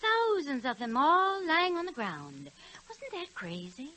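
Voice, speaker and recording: A woman's voice: sits high, huge pitch swings, a little slow, pushed and bright, full character voice. A woman playing a worried, fussy, talkative wife in a 1940s radio comedy, scolding and exclaiming. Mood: puzzled. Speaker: radio drama actor.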